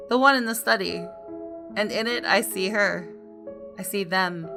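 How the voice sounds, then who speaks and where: A woman gaming YouTuber reading visual novel narration aloud expressively, with casual asides. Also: mid-range voice.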